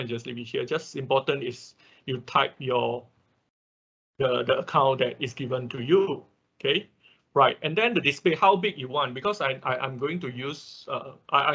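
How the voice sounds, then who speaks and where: A man teaching a software tutorial step by step in an unscripted, halting way, often marking steps with short filler confirmations. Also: mid-range voice, breathy edge. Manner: halting, often repeats "okay" and "right" as markers. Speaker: workshop instructor. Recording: dull lo-fi online class audio.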